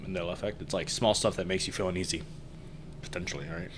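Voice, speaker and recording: A man with a very low voice hosts a casual podcast chat. He talks informally, with faint noise and room ambience around him. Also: steady tone, quick pace. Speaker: podcast host.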